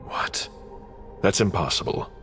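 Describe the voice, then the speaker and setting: An actor playing a stern, protective and menacing vampire enforcer in a video game, speaking in a grave, commanding, gravelly way. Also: male voice, unhurried, a bit bright, faint music behind, lightly acted. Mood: grave, loyal. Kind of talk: dramatic video game acting.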